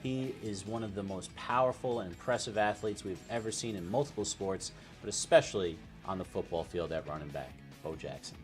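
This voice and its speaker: A man's voice: on the low side, a little fast, faint music behind. A man hosting a panel show, talking sports in a casual debate style.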